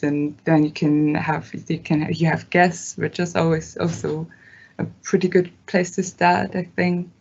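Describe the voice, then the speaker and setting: A woman's voice: lowish voice, gravelly, a little mellow, highs cut off. A young woman from Germany, whose first language is German, talking casually and hesitantly as a student ambassador. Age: young adult. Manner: hesitant, many fillers, laughs between phrases. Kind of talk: casual talk at an online open day.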